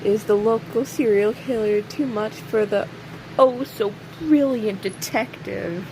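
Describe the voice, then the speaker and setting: A woman acts out a cocky, sardonic serial killer behind bars in an audio roleplay. The voice sits at a middling pitch and drawls slowly, teasing and sarcastic, leaving pointed pauses. A clear, steady noise runs behind it. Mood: mocking, taunting. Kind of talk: audio roleplay acting, addressing an unheard listener. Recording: steady clear background hiss or hum.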